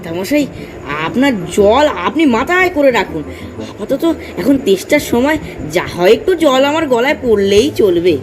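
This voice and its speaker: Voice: mid-range voice, very animated, normal speed, noisy background, close to an everyday voice. A performer in a comic audio drama playing a weary, thirsty male traveller who is polite but exasperated. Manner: pleading, exasperated, polite address. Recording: music behind the voice.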